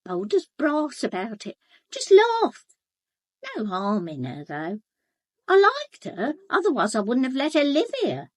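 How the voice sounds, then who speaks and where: An actress playing a gossipy, blunt English housekeeper in a British radio drama, chatty, colloquial and confiding. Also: female voice, high-pitched, huge pitch swings, unhurried, no room sound, studio quality, heavily acted. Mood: knowing, gossipy. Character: gossipy, blunt, sharp-eyed housekeeper, a working-class woman. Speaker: actor in a radio murder mystery.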